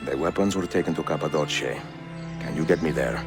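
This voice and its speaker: A man playing a grave, middle-aged Italian master assassin in a video game, speaking with a measured, solemn Italian accent. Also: rumbling low. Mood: somber. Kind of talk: video game cutscene acting. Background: cinematic game music.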